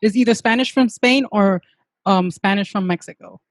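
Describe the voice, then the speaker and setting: A woman's voice: medium pitch, normal speed, no room sound, studio quality. A woman hosting a podcast, chatty and agreeable, backing up her guest. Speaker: podcast host. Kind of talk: casual interview chat.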